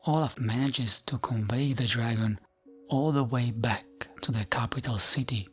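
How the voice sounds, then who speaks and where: A man narrating fantasy lore as soothing ASMR, in a gentle, hushed voice that often slips into a whisper, with music behind him. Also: medium pitch, moderate pace, a little hiss or hum.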